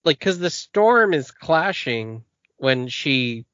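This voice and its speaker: A man's voice: a little high, big pitch swings, takes it slowly, a bit bright, clean tone, dry recording, dull audio. A man co-hosting a podcast, chatting enthusiastically and joking with plenty of fillers. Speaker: podcast co-host. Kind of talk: casual chat.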